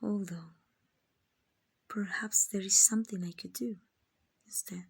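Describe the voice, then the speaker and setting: A woman acting as a kind, gentle female vampire who owns a mansion, in a hushed, breathy, reassuring ASMR roleplay. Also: medium pitch, fairly even intonation, a little slow. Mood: reassuring, tender. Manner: hushed, breathy, tender and reassuring, often near whispering.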